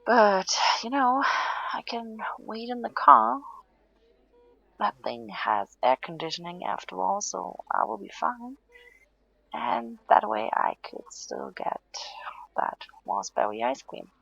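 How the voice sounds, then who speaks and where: A woman playing a tired, stubborn but playful patient in an intimate audio roleplay, murmuring sleepily and haltingly, often close to a whisper. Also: medium pitch, expressive, bright, forceful tone, dry recording, dull audio, heavily acted. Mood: coaxing. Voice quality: breathy, often dropping to a whisper.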